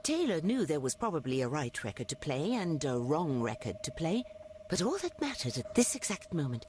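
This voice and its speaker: A woman narrating a video game story in a wry, deliberate way, over noisy game ambience. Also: low-pitched, very animated. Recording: clear background noise from game ambience.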